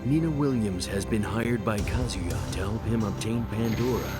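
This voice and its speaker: A man's voice: lowish voice, normal speed, close to an everyday voice. A man reading scripted dramatic narration as the narrator of a video game story. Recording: music behind.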